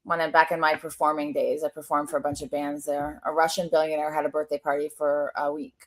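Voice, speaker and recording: A woman's voice: sits low, level tone, fast talker, poor audio. A woman hosting a webinar over a video call, chatty and rambling, with lots of fillers as she tells stories. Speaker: webinar host. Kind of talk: casual webinar conversation.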